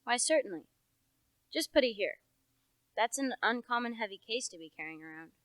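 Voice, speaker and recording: A young woman playing a gruff, nosy rustic village policeman in a radio play, with a folksy, officious way of talking. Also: fairly high pitch, expressive, normal speed, a bit bright, dry recording. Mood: curious. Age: young adult. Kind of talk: radio play character acting.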